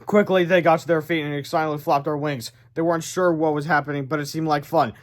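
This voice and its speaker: A man reading a children's book aloud as storyteller, animated, with casual asides and stumbles over words. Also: fairly high pitch, fast talker.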